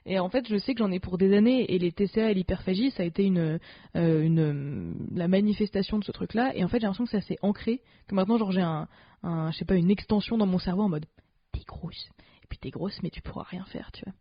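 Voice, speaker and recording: A woman's voice: mid-range voice, quick pace, dry recording. A woman co-hosting a podcast in casual chat, talking loosely with slang, fillers and restarts. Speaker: podcast co-host. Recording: dull lo-fi podcast sound.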